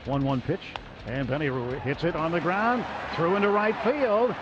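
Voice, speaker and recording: High-voiced man calling baseball on radio. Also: loud music behind the voice. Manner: brisk, excited calling of plays. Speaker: radio play-by-play announcer. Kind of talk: live baseball radio play-by-play. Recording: lo-fi radio broadcast with crowd noise.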